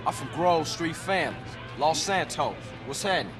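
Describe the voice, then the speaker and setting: A man's voice: a little high, animated, moderate pace, music in the background, close to an everyday voice. An actor playing a streetwise young male gang member, talking in casual street slang. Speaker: game protagonist.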